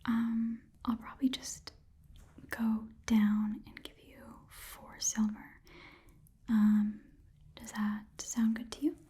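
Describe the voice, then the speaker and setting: A woman voicing an easygoing goblin pawn shopkeeper in a soft, breathy whispered ASMR roleplay, haggling in a casual, rambling way. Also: a little high, little pitch movement, takes it slowly.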